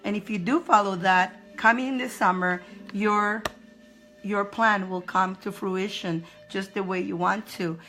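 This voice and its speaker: A woman's voice: medium pitch, steady tone, measured pace, a bit bright, faint music behind. Chatty, upbeat woman reading cards live. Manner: chatty and upbeat, greets viewers by name, laughs often; repeats phrases for emphasis. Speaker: spiritual card reader hosting a live stream. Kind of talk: casual live-stream card reading.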